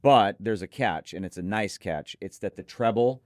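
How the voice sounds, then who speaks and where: Man, low pitch, dry sound, effusive reviewer, emphatic repetition, enthusiastic co-host delivery. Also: normal speed, a little mellow, no echo. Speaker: audio gear reviewer and co-host. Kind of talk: enthusiastic product preview talk.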